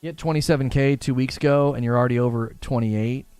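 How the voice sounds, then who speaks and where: A man's voice: mid-range voice, steady tone, fast, a little mellow, clean tone, no echo, faint music behind. A man hosting a gaming livestream, talking casually and informally to his viewers and reading their comments aloud. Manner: informal, slangy, addresses viewers directly. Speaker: gaming podcast host and livestreamer.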